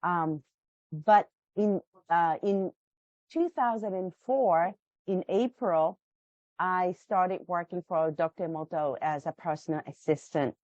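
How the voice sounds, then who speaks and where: Slow-spoken woman with a Japanese accent. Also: on the low side, a little mellow, no room sound, highs cut off. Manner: thoughtful, restarts phrases while recalling. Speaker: guest. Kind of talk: interview over a video call.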